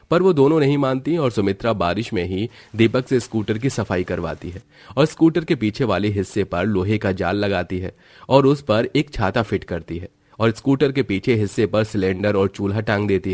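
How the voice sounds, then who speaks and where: A man's voice: on the low side, a little mellow, no echo. A man reading scripted narration for a cartoon family story.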